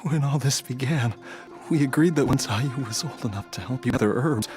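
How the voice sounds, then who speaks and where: A man playing an ailing, resigned husband and father in a game drama, gentle, halting and weary. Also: medium pitch, music in the background, close to an everyday voice. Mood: bittersweet.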